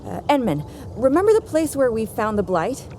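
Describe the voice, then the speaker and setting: An actress playing a composed, earnest woman researcher in a video game, measured and explanatory in delivery. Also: fairly high pitch, very animated, darkish tone, a little hiss or hum. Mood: intrigued, explaining. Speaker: game character.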